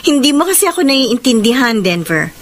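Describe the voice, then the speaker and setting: A woman's voice: fairly high pitch, very animated, moderate pace, a bit bright, slight background noise. A woman with a Filipino accent playing a demanding, seductive rich woman in a radio drama, taunting and insistent. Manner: taunting, insistent, theatrical delivery. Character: demanding, seductive, controlling wealthy woman pursuing a married man.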